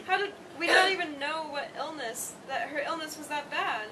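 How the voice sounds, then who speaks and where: A woman's voice: sits high, moderate pace, natural voice. A woman playing an emotional, guilt-ridden eldest daughter in a staged play reading. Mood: grieving, guilty. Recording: distant mic, noisy room.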